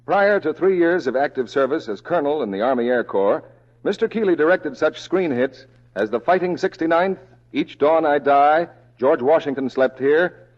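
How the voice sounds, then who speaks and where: Animated, declamatory 1940s radio announcer man. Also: on the high side. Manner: formal, declamatory. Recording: dull old radio recording, music behind.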